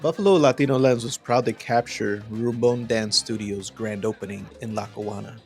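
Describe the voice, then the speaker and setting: A man's voice: fairly low pitch, fairly even intonation, normal speed, a little mellow, faint music behind. A man reading scripted announcements as the announcer of a community show. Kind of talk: scripted sponsor and show narration.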